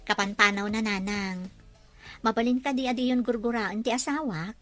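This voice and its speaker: A woman's voice: fairly high pitch, moderate pace, a bit bright, soft background music, close to an everyday voice. A woman playing a devoted, forgiving young wife in a drama, speaking in an earnest, pleading manner. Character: devoted, forgiving young wife hoping her cheating husband changes. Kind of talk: Ilocano radio-style drama acting.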